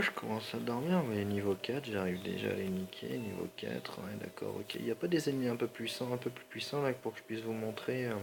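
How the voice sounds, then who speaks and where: A man's voice: fairly low pitch, vocal fry, background noise. A man with a slightly sore throat and a stuffy nose, rambling in slangy, apologetic commentary over his gameplay. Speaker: gaming channel host.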